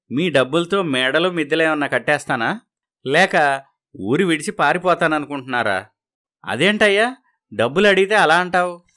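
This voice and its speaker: A man's voice: on the high side, pushed and bright. Man acting a pushy village borrower. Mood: wheedling.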